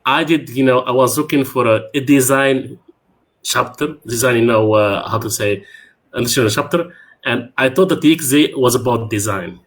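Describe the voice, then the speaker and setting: A man who hosts a video call through a headset from a small room at home, speaking hesitantly and filling his pauses with "you know.". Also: medium pitch. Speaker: community organizer and host. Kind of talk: casual online talk.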